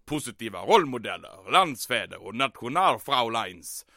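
An actor playing a pompous, self-satisfied German occupation commandant who sprinkles in German words, in old-time radio comedy style. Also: male voice, mid-range voice, sing-song, pushed and bright, no echo, clean studio sound. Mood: grandly enthusiastic.

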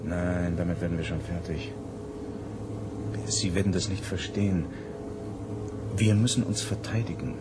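A man's voice: sits low, unhurried, long pauses, over music. An actor playing an authoritative, calculating male doctor in a 1970s German radio drama, patronising and pressing.

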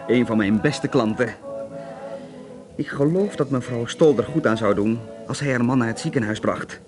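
A gossipy, sceptical barman played by a male actor in a radio drama. He speaks at a middling pitch, confiding and suggestive, pausing before each insinuation. Faint music sits behind him. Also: natural voice. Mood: amused, confiding. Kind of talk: conversational radio drama acting.

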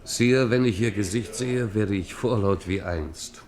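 Male actor as ageing poet comrade, lowish voice, candid, earnest, bold, historical radio play. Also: a little slow, a little mellow, close to an everyday voice. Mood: frank, earnest. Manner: frank, earnest. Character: outspoken old Huguenot poet and comrade of the king. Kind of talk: German radio play acting.

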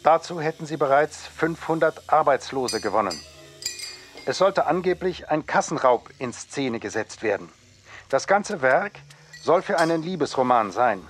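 A man giving a formal scripted reading of documents in a measured, official way. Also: fairly high pitch, animated, slight background noise. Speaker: reader of historical documents.

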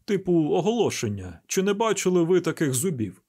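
A man plays a persistent police press officer in an audiobook crime story. He is needling and pointed, with a husky voice lifted in pitch. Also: sing-song, brisk, dark tone, exaggerated voice. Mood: suggesting. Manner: pointed, questioning.

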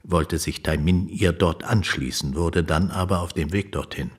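An actor playing a composed, courteous and learned middle-aged judge in a radio drama, speaking formally and in measured phrases. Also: male voice, low voice, slightly rough. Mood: firm, discreet.

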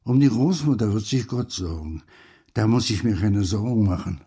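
An actor playing a weary, melancholy old grandfather, gravelly and resigned, in a literary audio drama. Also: lowish voice, expressive, normal speed, warm tone. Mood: melancholy, tender. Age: older.

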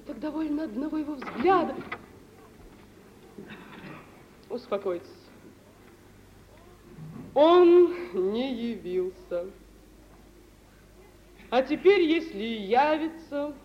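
An actress playing a proud, high-strung young woman in love, theatrical and emotional, in a 1950s Soviet radio drama. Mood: resigned. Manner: theatrical, emotional, drawn-out delivery. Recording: dull, hissy old radio drama recording.

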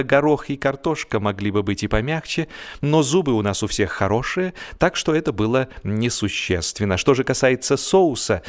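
A male audiobook narrator with a medium-pitched voice. He delivers humorous literary prose theatrically, with mock solemnity and expressive phrasing. Soft music plays beneath him.

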